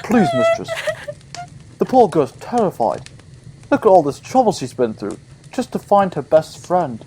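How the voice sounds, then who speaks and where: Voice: medium pitch, huge pitch swings, unhurried, dark tone, a little hiss or hum. A performer playing a kindly, loyal, courteous talking reindeer in an audio play. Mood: pleading. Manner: courteous, pleading.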